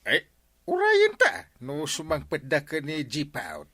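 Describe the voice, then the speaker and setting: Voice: medium pitch, wildly swooping pitch, unhurried, a bit bright, exaggerated voice. An actor playing a grumbling villager in a comedy drama. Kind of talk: comedy radio drama acting in Ilocano.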